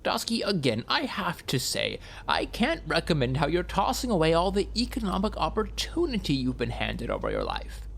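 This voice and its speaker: A man voicing a fussy, meddling, well-meaning middle-aged driver in an audiobook, long-winded and proper, with hemming pauses. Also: on the high side, sing-song, creaky, a bit bright, a little hiss or hum, voice pushed up, full character voice. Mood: preachy, nosy.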